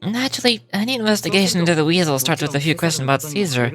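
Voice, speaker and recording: Guy doing a polite smooth young magician for a game, proud and theatrical, music playing under him. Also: fairly high pitch, racing, lightly acted. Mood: composed, explanatory. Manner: courteous, theatrical, composed.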